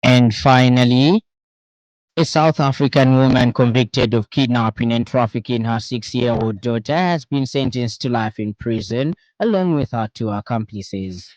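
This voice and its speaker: A male radio news presenter with a Zambian accent reads a bulletin from a script. His delivery is formal and measured, at a middling pitch. The sound is dry, as in a studio. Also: darkish tone, dry recording, dull audio.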